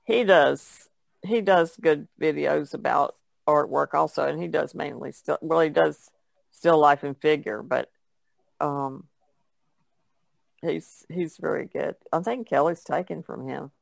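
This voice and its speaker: A woman's voice: sits low, measured pace, gravelly, no echo. A woman talking in a casual podcast conversation.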